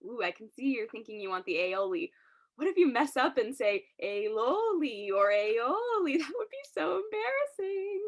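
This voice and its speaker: A woman's voice: high voice, animated. A woman playing a mocking, gleeful inner voice of anxiety in a student radio play, taunting theatrically. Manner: taunting, theatrical, drawn-out delivery.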